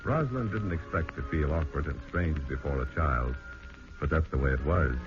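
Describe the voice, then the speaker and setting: A man's voice: a bass voice, moderate pace. A man acting as solemn narrator of a scripted vintage radio play. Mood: solemn, measured. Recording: muffled old radio broadcast with music.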